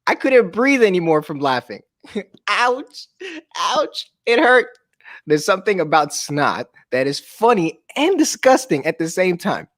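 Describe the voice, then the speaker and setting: A man's voice: sits high, very animated, unhurried, a bit bright, dry recording, studio quality. A man reading a children's book aloud as a storyteller, with jokey, informal asides. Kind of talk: animated read-aloud with casual chat.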